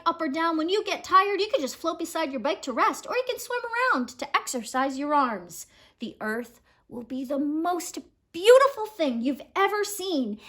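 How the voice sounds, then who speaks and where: A woman in her thirties reading a children's picture book aloud in an expressive storytelling manner, drawing out words. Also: high voice. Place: small home room, indoors.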